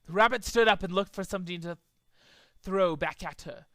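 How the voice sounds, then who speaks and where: A man's voice: high voice, normal speed, a bit bright, no echo. A man reading a story aloud as a dramatic amateur narrator, sometimes stumbling over the words. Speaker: amateur fan fiction reader.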